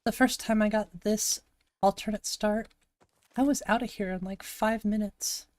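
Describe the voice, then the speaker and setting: Gravelly-voiced woman streamer, dry close mic. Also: medium pitch, steady tone, measured pace, darkish tone, no room sound. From Montana, USA. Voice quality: gravelly, slightly raspy. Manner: chatty, thinks aloud, reads and answers chat. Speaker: game streamer. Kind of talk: casual live-stream commentary while playing.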